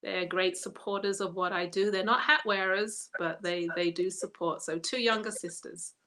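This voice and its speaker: Middle-aged woman w/ a mixed english-american accent, bright clear tone, cohosting a live stream. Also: medium pitch, fairly even intonation, normal speed. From England. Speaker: co-host and hat maker. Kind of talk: casual live-stream chat.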